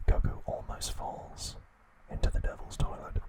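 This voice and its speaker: A man narrating an episode recap in an ASMR style, in a breathy, unvoiced whisper with deliberate pauses between short phrases. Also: a little mellow, a little hiss or hum.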